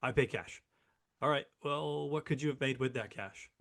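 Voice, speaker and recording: A man's voice: medium pitch, moderate pace, vocal fry, a bit bright. A man co-hosting an interview in a casual, explanatory conversational style. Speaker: interview co-host.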